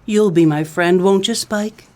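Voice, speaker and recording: A woman's voice: mid-range voice, unhurried, darkish tone, lightly acted. A woman playing a coy, scheming femme fatale widow in a comedic noir radio play, sweet-talking with pet names. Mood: coy, flirtatious.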